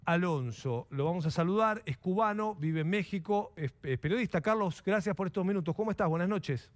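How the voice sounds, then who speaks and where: A man's voice: sits high, a little fast. A man with a Rioplatense Spanish accent hosting an interview and putting questions to a guest. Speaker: interviewer, host. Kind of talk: broadcast interview.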